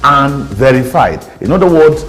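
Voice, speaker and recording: A man's voice: medium pitch, lively intonation, normal speed, a bit bright, faint music behind, low-quality recording. A man with a Nigerian accent explaining emphatically in an interview, as an official spokesperson who repeats his points.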